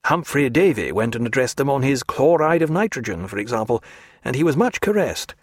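A male narrator voicing a reserved, earnest naturalist who speaks in a measured, formal way. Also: medium pitch, sing-song, no echo, studio quality.

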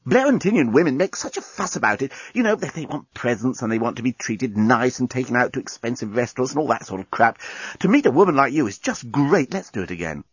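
A man voicing an amorous, excitable alien journalist in a comic sci-fi audiobook, earnestly explaining and protesting. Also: medium pitch, fast, husky, no echo, muffled recording. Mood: smug, amorous. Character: amorous male alien journalist, pleading, excitable, self-important. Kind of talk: comic science fiction audiobook.

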